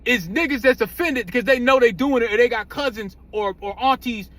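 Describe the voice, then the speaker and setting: Very high-pitched man, blunt and profane. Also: fairly quick, a bit bright. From United States. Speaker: commentary host. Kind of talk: casual opinionated commentary.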